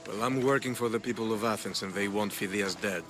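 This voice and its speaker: Man playing a menacing, lowish-voiced guard. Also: brisk, over music, close to an everyday voice. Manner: blunt, menacing. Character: gruff, threatening, corruptible male city guard. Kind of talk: video game dialogue acting.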